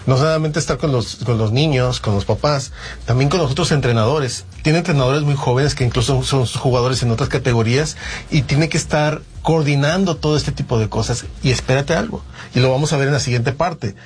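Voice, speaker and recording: A man's voice: mid-range voice, fairly quick, a little hiss or hum. A man hosting a sports talk show, asking friendly, joking questions. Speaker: host and interviewer. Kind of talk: sports talk and field interview.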